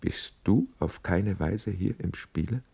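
Deep, slow, worried officer friend. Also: male voice, animated, vocal fry, mellow, slightly rough, full character voice. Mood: grave, concerned. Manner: deliberate, earnest, admonishing. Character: grave, worried loyal officer friend of a prince. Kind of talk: classical verse radio drama acting.

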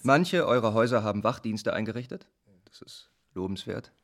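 An earnest militant commander in a radio drama. He sets out his case in a fairly low voice, halting and deliberate, leaning hard on his key words. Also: animated, fairly bright tone. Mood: earnest, measured. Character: earnest male militant squatter commander proposing defence plans. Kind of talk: German radio play acting.

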